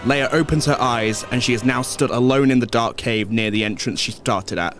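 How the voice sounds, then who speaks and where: A man's voice: mid-range voice, normal speed, over music. A man reading scripted narration for an audio drama.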